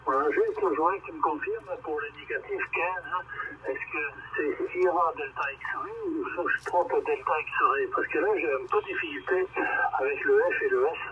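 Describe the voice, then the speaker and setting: A man with a Quebec French accent heard over radio, chatting haltingly as an amateur operator giving signal reports. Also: on the high side. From Quebec, Canada. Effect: radio transmission. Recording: dull, very noisy distant radio reception.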